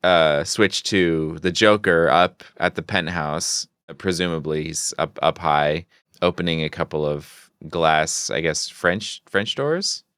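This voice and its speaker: A man's voice: low voice, a little slow, a bit bright, clean tone, no echo, studio quality. A man co-hosting a podcast, recapping comics in a casual, conversational, explanatory way. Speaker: podcast co-host.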